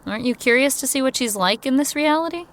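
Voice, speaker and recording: An actress voicing Kara, a young woman on a road trip, talking in a brisk, teasing, upbeat way. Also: high voice, lively intonation, a little hiss or hum. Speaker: lead character. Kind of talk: audio drama acting.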